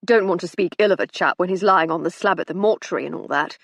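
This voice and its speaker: Woman voicing a tipsy, blustering upper-class gent, breathy and dry. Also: fairly low pitch, quick pace, no echo. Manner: blustering, slightly drunken. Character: chubby red-faced tipsy gentleman, blustering.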